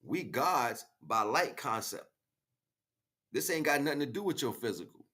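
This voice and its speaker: An American man giving an emphatic, freestyle spiritual monologue in street slang, repeating phrases as he goes, with a husky voice. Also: medium pitch, big pitch swings, normal speed, bright, forceful tone. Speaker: spiritual livestream speaker.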